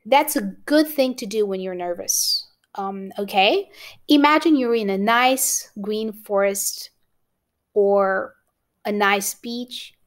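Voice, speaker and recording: A woman's voice: mid-range voice, takes it slowly. A woman with a Romanian accent teaching an exam-prep lesson to camera, encouraging and often checking in with "okay?". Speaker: English teacher.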